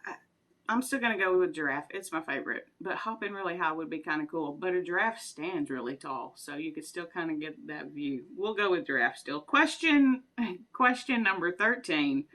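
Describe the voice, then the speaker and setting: A woman's voice: on the low side, animated, normal speed, sharp tone. A woman, chatting casually and rambling through would-you-rather questions with frequent giggles. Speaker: vlogger.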